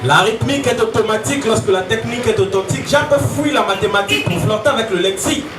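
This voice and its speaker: A man's voice: sits high, quick pace, crowd noise. A man performing slam poetry in a declamatory, rhyming, rhythmic delivery. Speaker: slam poet. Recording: echoey live stage, distant mic, music behind. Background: music.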